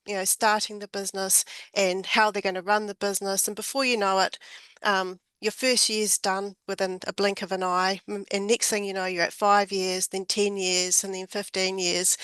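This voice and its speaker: A woman whose voice is croaky today talks as a guest advisor on a video call webinar. She is thoughtful and often repeats words while working out what to say. The sound is dry and close, with no room echo. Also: mid-range voice, a bit bright. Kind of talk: webinar discussion.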